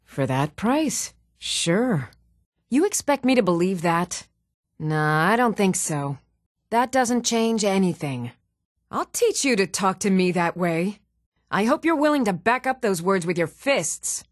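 A measured, expressive fantasy woman. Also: medium pitch, no echo, clean studio sound. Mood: matter-of-fact. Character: even-toned young adult woman of a fantasy world, steady and stern. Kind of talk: fantasy video game voice lines.

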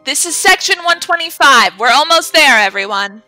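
A brash, jaded podcaster heroine, acted by a woman speaks in a bright, forceful and fairly high voice. She is sardonic and hard-boiled, over faint music in an audio drama. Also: lively intonation, normal speed, heavily acted. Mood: commanding.

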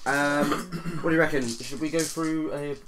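A man's voice: fairly high pitch, fairly even intonation, a little slow, a bit bright, slight background noise, low-quality recording. A man streaming a tabletop game, chatting casually in a rambling way peppered with filler phrases. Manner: rambling, frequent "you know". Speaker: streamer and player. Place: living room with a group.

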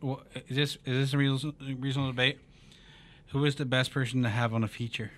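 A young man co-hosting a podcast in casual chat, excitable, starting lines with "yo" and stumbling over restarts. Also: mid-range voice, fast talker. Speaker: podcast co-host. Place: small radio studio. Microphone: studio mic on arm, headphones.

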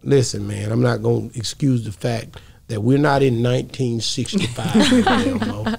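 A man's voice: mid-range voice, animated, normal speed, gravelly, a little mellow. A middle-aged man hosting a podcast, talking emphatically and often repeating his words. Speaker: podcast host. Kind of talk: opinionated podcast conversation. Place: small indoor podcast studio. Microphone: close studio microphone.

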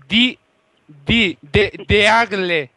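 A man playing an insistent, boastful foreign gamer on a prank call, halting and repetitive, with a put-on Moroccan accent. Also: sits high, pushed and bright, dry recording, full character voice. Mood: halting, polite.

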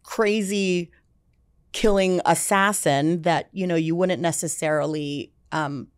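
A woman's voice: fairly low pitch, steady tone, slow pace, dry recording. A woman in her forties, a film music executive, chatting casually as an interview guest with many fillers, on a podcast mic in a studio. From United States. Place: dark studio set, seated in armchair. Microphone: podcast mic on boom arm.

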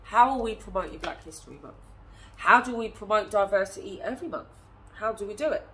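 A woman's voice: mid-range voice, expressive, a little fast, a bit bright, rough recording. A woman in her thirties with a stuffy nose from a cold, chatting directly to camera as an early years teacher, asking her viewers lots of questions. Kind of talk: casual teaching talk to camera. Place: small indoor room, seated on a chair. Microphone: phone camera at a short distance.

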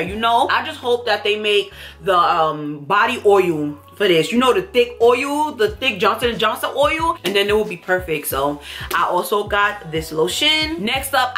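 Stuffy-nosed woman chatting brightly. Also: medium pitch. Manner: chatty, emphatic, enthusiastic product talk. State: stuffy nose from allergies. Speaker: beauty vlogger. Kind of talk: casual haul chat to camera. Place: small indoor room at home.

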